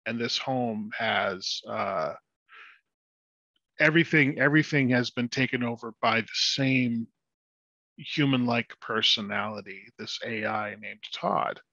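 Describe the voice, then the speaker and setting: Bright-voiced, halting male podcast guest. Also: mid-range voice, gravelly, no room sound. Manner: halting, repeats words while explaining. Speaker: podcast guest, audio drama creator. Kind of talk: casual podcast conversation. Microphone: headset on a video call. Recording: slightly dull video-call sound.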